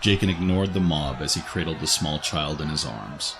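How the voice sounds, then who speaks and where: A man's voice: extremely low pitch, normal speed, noisy background. A man reading scripted, dramatic story narration as the narrator of an audio drama. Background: faint music.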